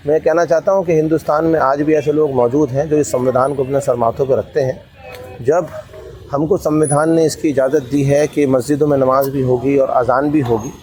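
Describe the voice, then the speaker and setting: Mellow, slightly high-voiced man making a statement. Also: low-quality recording. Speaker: interviewee giving a statement. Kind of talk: spoken political statement.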